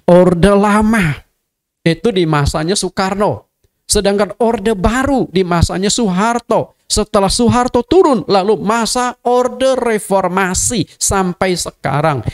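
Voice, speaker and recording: A middle-aged man from Indonesia, a native Hakka speaker, giving a conversational sermon as a pastor and speaking directly to his congregation. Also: high voice. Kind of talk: sermon teaching.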